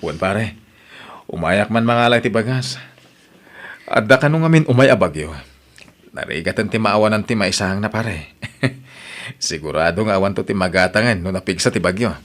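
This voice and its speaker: A man's voice: fairly low pitch, lively intonation, moderate pace, pauses often, a bit bright. A man playing a weary, defensive young husband in an audio drama. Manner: defensive, tired.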